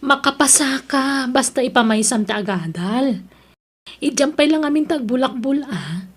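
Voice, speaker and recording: A woman's voice: sits high, lively intonation, moderate pace, breathy edge. A woman with an Ilocano accent playing a patient, pleading mother in an audio drama, earnest and coaxing. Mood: pleading, encouraging. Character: patient, pleading mother urging her child onward.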